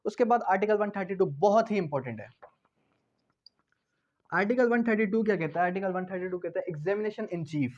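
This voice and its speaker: A man's voice: sits high, expressive, fairly quick, long pauses. A man giving an explanatory law lecture in a didactic way, repeating points, asking rhetorical questions and switching between two languages as he reads out and paraphrases. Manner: switches between Hindi and English. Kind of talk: explanatory lecture, reading out legal articles and paraphrasing them. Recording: dull, lo-fi sound.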